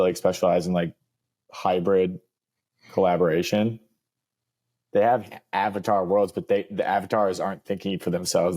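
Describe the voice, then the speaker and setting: A man's voice: low voice, darkish tone. A young man co-hosting a podcast, chatting in a loose, upbeat way and leaning on filler words. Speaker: podcast co-host. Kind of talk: casual chat.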